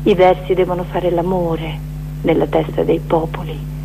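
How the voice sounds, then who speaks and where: A woman's voice: on the low side, flat delivery, vocal fry. Declamatory woman reciting over audible noise. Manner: declamatory, emphatic, deliberate phrasing. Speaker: performer reciting poetry. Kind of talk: dramatic recitation of a poetic manifesto.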